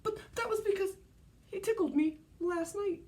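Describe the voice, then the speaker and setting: A woman's voice: extremely high pitch, moderate pace, a little mellow, voice pushed up. A woman voicing whiny, squabbling bear cub children who protest and blame in a storybook read-aloud. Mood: whiny, defensive.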